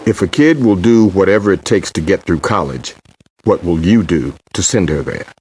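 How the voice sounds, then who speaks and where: A man's voice: low voice, a little mellow. A man reading a scripted public service announcement as the announcer.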